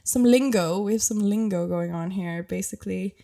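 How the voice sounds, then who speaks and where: A woman's voice: mid-range voice, normal speed, vocal fry, mellow. A young Irish woman with a mild Irish accent hosting a podcast, chatting casually while sick with a cough and laughing often as she explains things. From Ireland. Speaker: podcast host.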